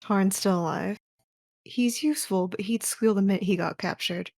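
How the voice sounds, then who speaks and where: Woman acting cool, creaky-voiced secret agent. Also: medium pitch, moderate pace, a little mellow, dry recording, clean studio sound. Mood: businesslike. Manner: clipped, businesslike, condescending. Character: cool, curt, ruthless female agent. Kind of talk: audio drama acting.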